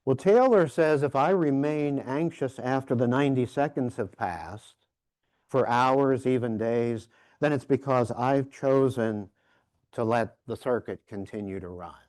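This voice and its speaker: A male professor gives an informal, reflective workshop lecture. He speaks at a very unhurried pace in a mid-pitched voice, often repeating words and leaning on key phrases. The sound is dry. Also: darkish tone, dry recording. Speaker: professor giving a keynote workshop.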